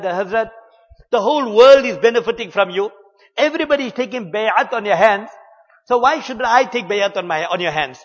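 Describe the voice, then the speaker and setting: A man giving an earnest, measured religious talk, mixing in Arabic and Urdu religious terms. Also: high voice, a bit bright, in a large hall, highs cut off. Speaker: Islamic preacher.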